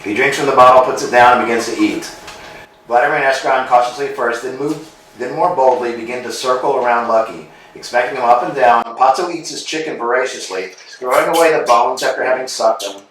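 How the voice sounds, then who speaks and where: A man's voice: medium pitch, brisk, fairly bright tone, a little hiss or hum. Man reading stage directions plainly. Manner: plain, matter-of-fact reading. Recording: echoey room, far mic.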